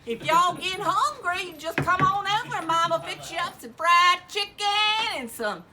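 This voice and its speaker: Figure: hollering trail mama, put on by a woman. Pitch: high and swooping. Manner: theatrical, exclamatory. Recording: outdoors with heavy noise behind. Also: lively intonation, measured pace. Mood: hammy. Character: loud folksy Southern mama hiker, middle-aged woman. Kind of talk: comic character bit.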